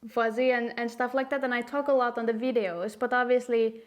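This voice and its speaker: A woman's voice: fairly high pitch, fairly even intonation, a little fast. A woman with a Finnish accent chatting casually and candidly to camera as a video host, telling stories in a self-deprecating way. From Finland. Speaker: host of a puzzling video channel. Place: quiet room at home.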